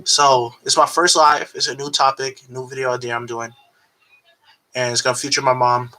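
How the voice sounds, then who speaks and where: An eighteen-year-old man hosting his video, relaxed and hesitant with many ums. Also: mid-range voice, moderate pace, low-quality recording. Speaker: host. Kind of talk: casual video intro.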